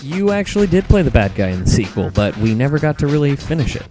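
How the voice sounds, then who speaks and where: A man's voice: mid-range voice, very animated, fast talker, music in the background. A man giving wry opinions as a guest in a casual podcast chat.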